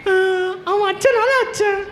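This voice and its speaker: A woman's voice: extremely high pitch, fairly even intonation, moderate pace, a bit bright. A woman voicing a cheeky, whining child puppet who teases and squabbles in a children's puppet show. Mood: sheepish pleading. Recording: echoey hall with faint noise.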